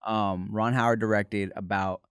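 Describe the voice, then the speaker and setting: A man co-hosting a podcast, telling stories in a casual, animated way and swearing freely. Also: lowish voice, normal speed, clean tone, dry recording, studio quality. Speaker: podcast co-host. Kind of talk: casual chat.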